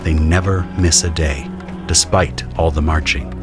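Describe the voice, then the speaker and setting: Deep-voiced man narrating an audio drama. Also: fairly even intonation, measured pace, over music. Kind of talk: scripted first-person audio drama narration.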